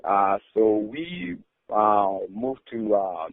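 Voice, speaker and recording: A man's voice: on the low side, sing-song, drawn-out words, no echo. A man from Rwanda, a podcast guest telling his life story with many hesitant fillers and restarts. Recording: tinny phone-like line.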